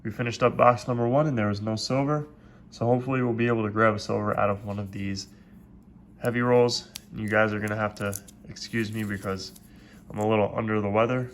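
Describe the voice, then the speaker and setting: A stuffed-up, congested man talks viewers through his task at a home desk in a steady, methodical way. His voice sits low and barely rises or falls. A clear layer of noise runs under the recording. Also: fast. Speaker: coin roll hunting video host. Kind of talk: casual hands-on commentary. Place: at a desk indoors.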